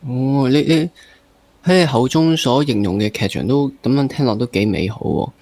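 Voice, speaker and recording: A man with a Hong Kong Cantonese accent interviewing casually, summing up and gently challenging with leading questions. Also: mid-range voice, normal speed, slight background noise. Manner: probing, summing up and playfully challenging the guest. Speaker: podcast interviewer.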